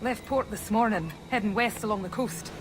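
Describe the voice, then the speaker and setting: An actress playing a wry, self-assured ally of a pirate, dry and teasing, in a dramatic game scene. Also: female voice, mid-range voice, normal speed, background noise, natural voice. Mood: businesslike. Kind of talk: dramatic video game acting.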